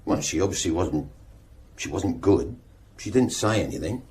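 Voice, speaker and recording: An actor playing a nervous, evasive suspect in a radio drama police interview, halting and stumbling over his words. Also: low-pitched, expressive, a little mellow, a little hiss or hum. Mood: flustered, pleading. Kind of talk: naturalistic radio drama acting.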